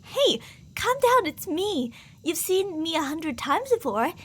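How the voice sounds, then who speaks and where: A woman's voice: extremely high pitch, sing-song, takes it slowly, a little hiss or hum, heavily acted. A woman playing an obsessive, delusional stalker in an ASMR roleplay, theatrical and sweetly menacing, with jealous, volatile endearments and reproaches. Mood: stern, impatient.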